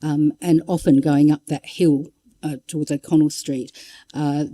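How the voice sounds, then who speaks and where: A woman's voice: low voice, steady tone, a little slow, mellow. A woman giving an informal history talk, conversational and wry, drifting into asides and reading out quotations. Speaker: public lecturer.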